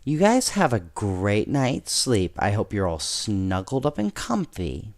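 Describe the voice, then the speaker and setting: A man reading a bedtime story with casual asides, speaking gently and directly to young listeners. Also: fairly low pitch, wildly swooping pitch, slow pace.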